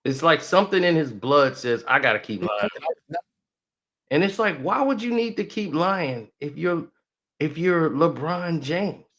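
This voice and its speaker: A man's voice: a little high, expressive, measured pace, fairly bright tone. A man giving a heated, mocking sports commentary rant that repeats its points.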